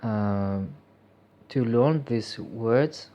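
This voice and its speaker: A man with a Russian accent speaks slowly and haltingly in a personal vlog, pausing often on fillers. His voice is dark and mellow, and the lo-fi recording sounds a little dull. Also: mid-range voice. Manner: hesitant, halting, many fillers; reflective and earnest. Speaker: vlogger. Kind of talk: unscripted personal monologue.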